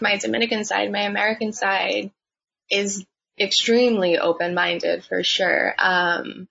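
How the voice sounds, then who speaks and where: A young woman who works as a budtender, answering interview questions in a relaxed, chatty way with lots of fillers. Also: mid-range voice, vocal fry, husky. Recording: dull lo-fi sound.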